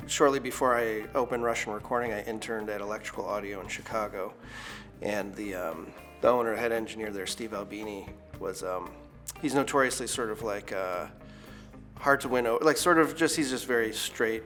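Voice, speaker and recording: A man in his forties, a studio owner, speaks in a relaxed documentary interview. His talk wanders, full of fillers and restarts, and faint music plays quietly beneath it. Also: on the low side, moderate pace. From United States.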